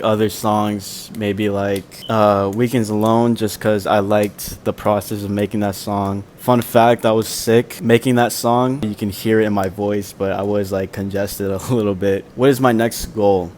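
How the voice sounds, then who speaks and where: A young man with a fairly low, soft voice talks through fan questions in a relaxed, rambling way, full of fillers. He sounds like an independent musician chatting casually on his own channel. A faint hiss of background noise sits under the recording. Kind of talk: casual Q&A vlog.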